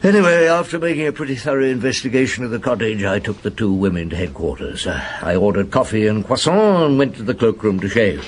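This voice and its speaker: Male actor as shrewd police inspector, radio play, lowish pitch, animated intonation, measured probing questions. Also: slight background noise, natural voice. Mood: reflective. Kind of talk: radio drama acting, narrating and interrogating.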